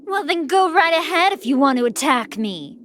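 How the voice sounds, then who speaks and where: A woman's voice: high voice, sing-song, moderate pace, slight background noise. A woman playing a gleeful, people-eating monster villainess in a video game, with playful, sugary menace. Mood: taunting. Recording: loud game music behind.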